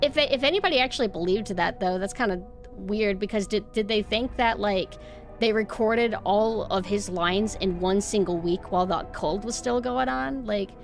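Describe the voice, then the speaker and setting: A young woman with a nasal voice rambles sarcastically, swearing freely as she chats to her stream. Her pitch sits in an ordinary middle range, and faint music plays under her. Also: normal speed. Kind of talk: casual stream chat.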